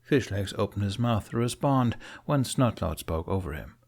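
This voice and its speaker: A man's voice: on the low side, expressive, moderate pace, creaky, darkish tone. A man reading scripted fiction as an audiobook narrator.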